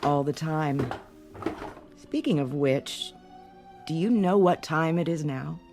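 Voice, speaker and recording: An actress playing a world-weary landlady in an audio drama, blunt and dry, scolding but with a soft spot underneath. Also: low voice, takes it slowly, soft background music. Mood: dry, impatient.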